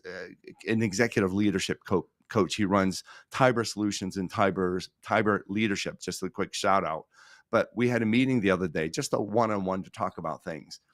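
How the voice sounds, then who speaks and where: A middle-aged American man speaking as a podcast guest, telling stories conversationally in an earnest, emphatic way, repeating phrases and pausing for effect. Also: on the low side, dry recording, studio quality. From Pittsburgh, United States. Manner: earnest, emphatic, with repetition and dramatic pauses.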